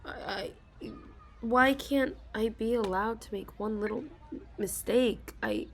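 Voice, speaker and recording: A young woman in a soft ASMR role-play, confiding hesitantly as an anxious office worker, sighing and stopping to restart her sentences. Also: on the high side. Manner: hesitant and self-deprecating, with frequent sighs and halting restarts.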